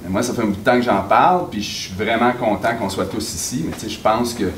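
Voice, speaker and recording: A man's voice: on the low side, fast talker. A man speaking at a public meeting, direct and informal. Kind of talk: unscripted public comment. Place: meeting hall. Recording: echoey room, distant mic, background noise.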